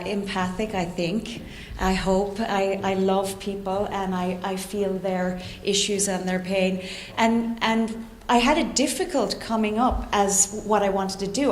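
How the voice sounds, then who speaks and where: A middle-aged woman with an Irish accent telling her personal story to a live audience, hesitant and reflective, with dramatic repetition. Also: medium pitch. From Ireland. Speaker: guest speaker, orchestra conductor and composer. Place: indoor conference room before a live audience. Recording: echoey room with faint background noise.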